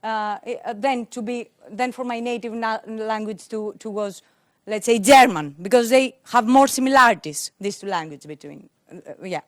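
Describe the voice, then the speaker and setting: A young woman whose first language is Greek presents at a tech conference, holding a mic and searching for words with frequent fillers and restarts. Her voice sits a little high. The sound is dry and close, with little room in it. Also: normal speed, no echo. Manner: hesitant, many fillers and restarts. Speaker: conference speaker. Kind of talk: technical conference talk. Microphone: handheld mic.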